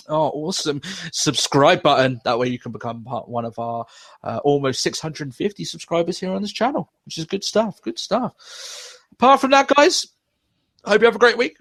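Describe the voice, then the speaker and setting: A young man hosts a podcast in loose, rambling chat. He swears freely and laughs often. His voice sits a little high and sounds dry and close to the mic. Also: fairly bright tone, dry recording. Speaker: podcast host. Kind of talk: casual wrestling review chat.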